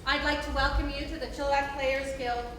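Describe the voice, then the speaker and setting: A woman's voice: high voice, flat delivery, fairly quick. A woman giving an informal welcome speech as the director of a theatre production. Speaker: theatre director introducing a performance. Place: indoor theatre, distant microphone. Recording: echoey theatre from far off, noisy.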